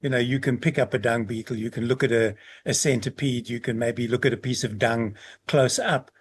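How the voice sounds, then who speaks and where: A man's voice: medium pitch, steady tone, a little fast, slight background noise. A man hosting a podcast interview, chatty and affable as he asks his questions. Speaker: podcast host.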